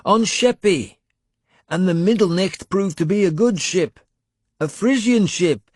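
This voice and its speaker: A man's voice: high-pitched, unhurried, no echo, studio quality, pitched above the performer's own voice. A man voicing a commanding Northman warrior lord in an audiobook, bellowing emphatically over a storm. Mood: shouting, confident.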